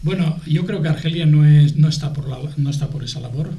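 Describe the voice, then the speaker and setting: A middle-aged man with an accent from Spain, explaining things in a TV debate in a halting way, repeating and rephrasing as he goes. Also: fairly high pitch, warm tone. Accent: Spanish from Spain. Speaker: guest, aid association spokesman. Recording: echoey room.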